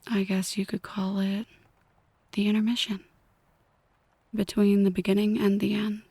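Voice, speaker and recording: A woman playing a possessive, brooding mafia boss in an ASMR roleplay, speaking in a breathy whisper, deliberately and intimately to one listener. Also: medium pitch, flat delivery, dark tone. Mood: bitter, brooding.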